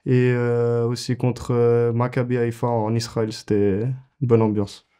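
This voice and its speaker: A man's voice: mid-range voice, monotone, measured pace, mellow, smooth. A man in his twenties, a goalkeeper answering interview questions casually, with many fillers and restarts. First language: French. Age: 20s.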